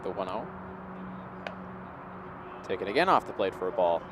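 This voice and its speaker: A man's voice: deep voice, animated, normal speed. A man giving live play-by-play baseball commentary as the game unfolds. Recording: clear outdoor background noise.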